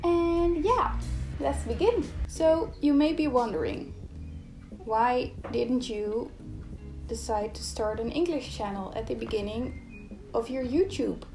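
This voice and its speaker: A woman's voice: a little high, slow, creaky, darkish tone. A young Dutch woman, a native Dutch speaker, chatting casually in English as a YouTuber and illustrator, openly searching for words at times. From Netherlands. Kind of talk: casual chat while drawing. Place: home art studio. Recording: roomy home studio sound. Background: faint background music.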